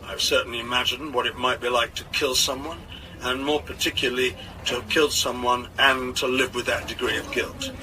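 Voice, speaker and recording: Reclusive male author's voice: reflective, measured, guarded, heard from afar through noisy radio playback. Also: medium pitch, fairly even intonation, sharp tone, distant mic. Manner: measured, thoughtful. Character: guarded, reflective male actor reading reclusive novelist's answers. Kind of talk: read-out interview answers.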